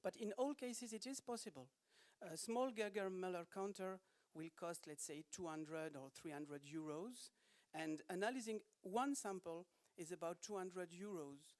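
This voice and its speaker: A man's voice: high-pitched, normal speed. A man with a French accent, a panel expert giving an explanatory answer in English as a non-native speaker. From France. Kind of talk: explanatory answer to an audience question. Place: indoor conference.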